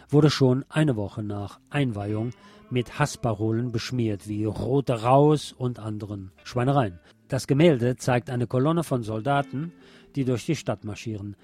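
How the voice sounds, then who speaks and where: A man's voice: mid-range voice, warm tone. A man presenting scripted local radio news as a narrator. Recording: music playing behind the voice. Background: background music.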